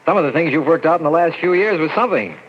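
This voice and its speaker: A man's voice: on the high side, expressive, racing, lo-fi sound, slight background noise, lightly acted. An actor playing a shaken young army lieutenant, telling his story in tense first-person narration on an old radio drama recording.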